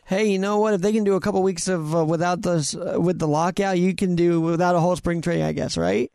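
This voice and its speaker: A man with a notably high voice and a close, dry sound hosts a sports interview. He chats casually like an eager fan, steering with leading questions. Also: fairly even intonation, fast, creaky, darkish tone, no echo.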